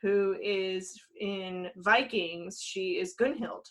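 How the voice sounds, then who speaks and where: A woman's voice: mid-range voice, steady tone, slow, a bit bright. Casual, enthusiastic woman podcaster. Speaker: podcast co-host. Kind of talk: casual panel chat about games and movies.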